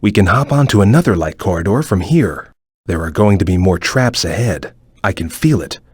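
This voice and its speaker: A man voicing a stern, watchful cartoon ninja guide in a video game, with a measured, formal, cautious way of advising. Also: low voice, expressive, husky, no room sound, clean studio sound. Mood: cautious, instructive. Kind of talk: video game voice acting, short hint lines.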